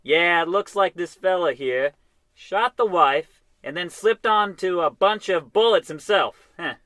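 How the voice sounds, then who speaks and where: High, sharp-voiced dim police officer, a man acting. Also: unhurried, no room sound. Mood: earnest, oblivious. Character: dim-witted, earnest male police officer. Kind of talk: comic old-time radio play acting.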